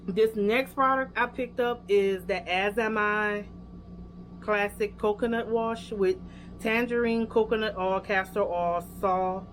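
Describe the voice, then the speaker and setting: A woman's voice: medium pitch, fairly even intonation, measured pace, slight background noise. A young woman with a Louisiana accent, casually reviewing hair products and chatting straight to her viewers with lots of fillers. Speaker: hair care vlogger.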